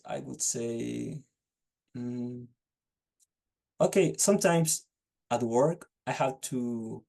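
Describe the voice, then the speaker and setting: A young man with a Chilean accent speaks slowly, in a low, gravelly, mellow voice. He pauses and restarts phrases as he gropes for words. He sounds like he is on a video call. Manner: hesitant, restarts phrases while searching for words. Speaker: test candidate. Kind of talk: unscripted speaking-test answers.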